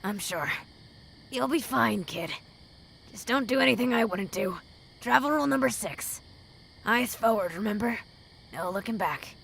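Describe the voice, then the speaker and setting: Woman acting creaky-voiced world-weary ghoul drifter. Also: a little high, expressive, normal speed, pauses often, fairly bright tone, a little hiss or hum, rough recording. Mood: resigned, reassuring. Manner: blunt, earnest advice-giving. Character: world-weary, tough female ghoul drifter, blunt but protective mentor. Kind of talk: fan audio drama acting.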